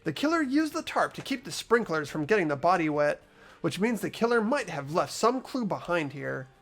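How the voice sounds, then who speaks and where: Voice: mid-range voice, sing-song, moderate pace, faint music behind. A player voicing a mild-mannered, hesitant teenage boy detective, reading a video game's dialogue and inner monologue aloud. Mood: curious, thinking aloud. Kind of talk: amateur voice acting and inner monologue of a game script.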